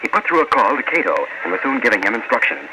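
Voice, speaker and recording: A man's voice: on the low side, sing-song, fast talker. A man narrating a scripted old-time radio adventure serial in an earnest American voice. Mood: steady, suspenseful. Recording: very noisy old radio broadcast recording.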